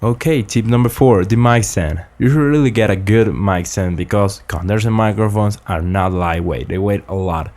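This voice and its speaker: A young man from Ecuador, earnestly explaining vocal recording tips in slightly halting English, close on a studio condenser mic in a small home studio. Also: lowish voice, a little mellow. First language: Spanish. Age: young adult. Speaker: student making a tutorial. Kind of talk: casual spoken tutorial. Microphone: large-diaphragm condenser with pop filter, close.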